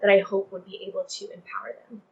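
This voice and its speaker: A woman's voice: mid-range voice, level tone, fast talker, gravelly, lo-fi sound, slight background noise. A young woman from Texas speaking earnestly and conversationally as an interview guest, often saying "like" and "you know". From Texas, United States.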